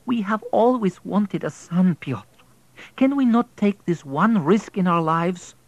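A man reading an audiobook, voicing a tender-hearted middle-aged peasant wife who pleads anxiously in a raised, higher voice. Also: animated, measured pace, no room sound, lo-fi sound. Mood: pleading.

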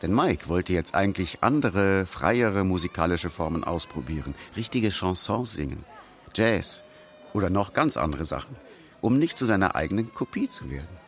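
A man's voice: deep voice, expressive, normal speed, faint music behind. A man narrating a scripted radio documentary about someone's life.

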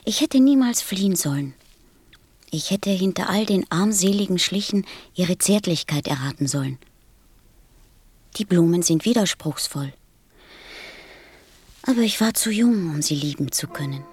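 A woman playing a gentle, melancholy little boy prince in a radio play, speaking softly and earnestly. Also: on the low side, animated, measured pace, long pauses, husky, no room sound. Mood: wistful regret.

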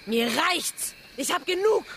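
High-pitched, fed-up young woman. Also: animated, slow, heavily acted. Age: young adult. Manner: indignant, sharp-tongued. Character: fed-up, defiant young woman quarrelling with her partner. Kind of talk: German radio drama acting.

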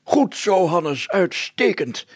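A man's voice: high-pitched, sing-song, takes it slowly, slightly rough, no echo, voice pushed up, heavily acted. A man voicing a flustered, bossy village mayor in a story, stuttering and spluttering with sneezy speech. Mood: bossy, hurried. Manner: pompous, spluttering, exclamatory.

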